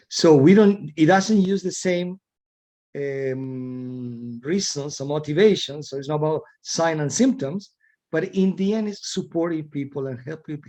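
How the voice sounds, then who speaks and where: Man, middle-aged, Argentine Spanish accent, slightly high voice, thoughtful, repeats words mid-sentence, interview guest. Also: dark tone. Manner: thoughtful, repeats words while searching for phrasing. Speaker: guest, executive coach. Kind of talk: casual interview talk.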